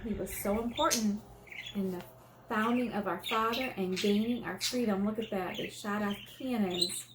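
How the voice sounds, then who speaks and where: An American woman with a fairly high voice reads a children's book aloud in the manner of an elementary teacher. She pauses to explain things simply to young listeners. There is some faint noise behind her.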